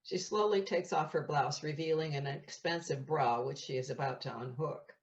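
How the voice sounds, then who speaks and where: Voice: mid-range voice, moderate pace. A narrator reading out scripted stage directions for an audio play in a plain, descriptive way.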